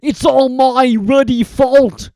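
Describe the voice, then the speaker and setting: A reader voicing a huge, soft-hearted, bearded male groundskeeper who talks loudly and roughly. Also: medium pitch, moderate pace, no room sound, clean studio sound, pitched above the performer's own voice, close to an everyday voice. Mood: sobbing. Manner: loud, blubbering and rough-spoken.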